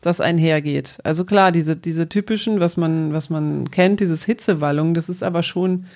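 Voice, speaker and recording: A woman's voice: on the low side, normal speed, a little mellow. A woman, explaining things in a casual, colloquial way as a co-host. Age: 40s. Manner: informal, colloquial with some English slang.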